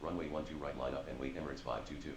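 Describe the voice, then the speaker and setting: A man's voice: very deep voice, fairly even intonation, very fast. A man voicing an airline pilot in a simulator game, giving clipped readbacks over aircraft radio. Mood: businesslike. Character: brisk male airline pilot radioing the tower. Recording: noisy, echoey, distant radio transmission.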